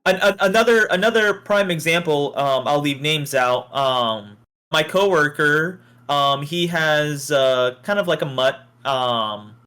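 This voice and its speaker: A man's voice: a little high, expressive, a little slow, pushed and bright, clean tone. A man chatting casually on a podcast and telling everyday stories, with some casual swearing. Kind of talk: casual podcast chat and storytelling.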